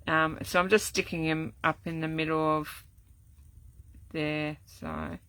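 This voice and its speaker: An older woman, chatting casually through a live card-making demo, rambling with many ums and restarts, her voice going croaky. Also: deep voice, lively intonation, takes it slowly, a bit bright, no room sound, low-quality recording. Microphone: camera set up at desk.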